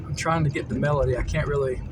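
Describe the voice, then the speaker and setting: A middle-aged man with an American accent chats informally about his memories. His voice sits a little higher than most men's. He wanders from point to point, pausing and thinking out loud. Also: fairly even intonation, noisy background. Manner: halting, meandering, thinks aloud. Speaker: vlogger telling personal stories. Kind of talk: casual rambling reminiscence. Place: camper van.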